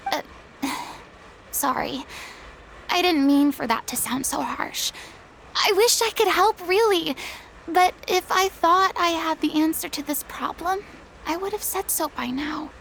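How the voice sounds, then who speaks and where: Theatrical former stage star, high voice, grandiose, dramatic, self-pitying, game voice acting. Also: female voice, a bit bright, slight background noise, heavily acted. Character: proud, theatrical young woman, a retired stage star.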